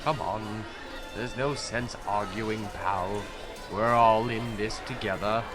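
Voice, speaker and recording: A man's voice: lowish voice, takes it slowly, music in the background, a crowd in the background. Guy doing a bossy stuffed owl in an audio play, impatient, superior, takes his time. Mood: smug, bossy. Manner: exasperated, superior, commanding. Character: bossy, smug male toy owl, impatient know-it-all. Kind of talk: comic audio drama acting.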